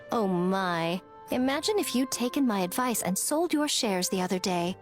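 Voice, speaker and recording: A woman's voice: mid-range voice, animated, unhurried, soft background music, close to an everyday voice. A woman playing a sly, teasing, elegant shrine priestess in an anime video game, coy and mock-polite.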